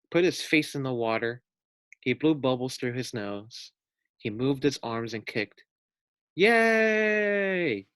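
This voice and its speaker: A man reading a children's storybook aloud as a teacher, with deliberate, clear phrasing. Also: medium pitch, no echo.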